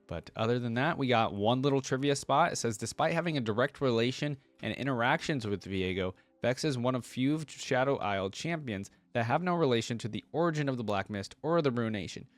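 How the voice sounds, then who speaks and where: A man's voice: mid-range voice, lively intonation, no echo. A man hosting a reaction video, chatting casually and reading text aloud with frequent asides. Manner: chatty, pauses to add asides.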